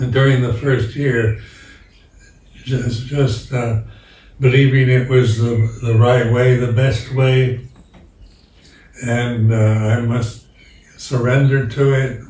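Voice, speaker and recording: An older man speaks as a Buddhist monk at an unhurried, drawn-out pace, circling back over his words as he reflects. His voice is set back from the mic. The room is echoey. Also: medium pitch, mellow, far from the mic. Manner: slow, halting, with many repeated words. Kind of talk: reflective dharma talk.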